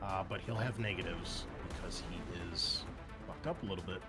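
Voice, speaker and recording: A man's voice: deep voice, moderate pace. A man running a tabletop roleplaying game as game master, improvising action and rulings in a casual, profane way, thinking aloud. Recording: loud music behind the voice. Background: music.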